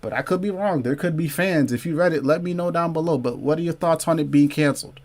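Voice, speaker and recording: A man's voice: on the high side, fast talker, darkish tone. A man hosting a casual comics livestream, talking loosely to his chat, reading out viewer comments and repeating phrases to drive a point home. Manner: says y'all and man, asks viewers to comment below. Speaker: comic book livestream host.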